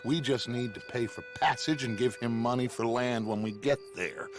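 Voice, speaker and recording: A man's voice: mid-range voice, steady tone, moderate pace, close to an everyday voice. A man voicing a grandiose, commanding middle-aged outlaw gang leader who talks in a theatrical, persuasive way. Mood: urgent, persuasive. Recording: game cutscene with music score. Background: orchestral game music.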